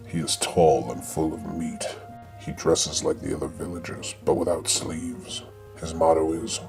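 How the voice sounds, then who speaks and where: A man reading scripted comic narration for an audio drama in a deadpan way. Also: rumbling low, gravelly.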